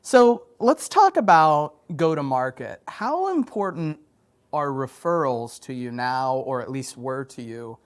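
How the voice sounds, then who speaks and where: A man's voice: mid-range voice, big pitch swings, unhurried. A man hosting an interview, encouraging his guest and steering with questions. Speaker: podcast host, interviewer.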